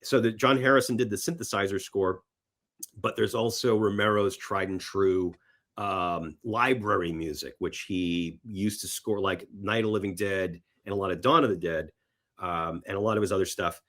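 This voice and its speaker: A man's voice: low-pitched, fairly bright tone. Enthusiastic, rambling man talking film. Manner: enthusiastic, halting and rambling, acts out imagined reactions. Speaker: podcast guest, filmmaker. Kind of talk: casual film talk in an interview.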